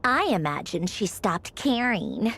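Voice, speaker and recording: A woman's voice: mid-range voice, big pitch swings, slow pace, creaky, fairly bright tone, exaggerated voice. A woman playing a proud, short-tempered, grumbling female villain officer in a video game, with a haughty, blunt manner. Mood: disdainful, grumbling. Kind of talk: English video game voice acting. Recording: background music under the voice.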